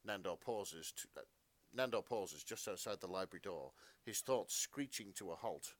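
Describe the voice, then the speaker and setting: A man with a lowish voice narrates fan fiction with melodramatic flair. He is a podcast storyteller, and the sound is close and dry. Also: animated, moderate pace, dry recording.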